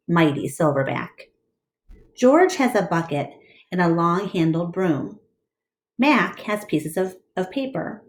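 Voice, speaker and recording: A woman teacher reading a storybook aloud to her class with expressive narration. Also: on the low side.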